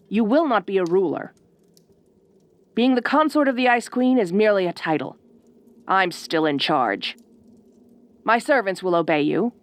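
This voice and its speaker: A woman's voice: on the low side, natural voice. A woman playing a bossy, haughty ice queen in an audio roleplay, speaking in imperious, formal, condescending phrases. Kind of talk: audio roleplay acting addressed to the listener.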